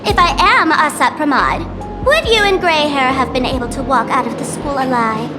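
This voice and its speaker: Mischievous young woman, game character, high-pitched, very animated, teasing, dramatic flourishes, music behind. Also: moderate pace. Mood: cool, challenging. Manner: teasing, showy, dramatic declarations. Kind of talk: video game voice acting.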